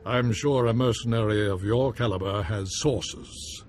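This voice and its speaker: A man's voice: lowish voice, unhurried, a little hiss or hum, natural voice. A man playing a gracious noble baron in a video game, speaking in formal, courtly phrases.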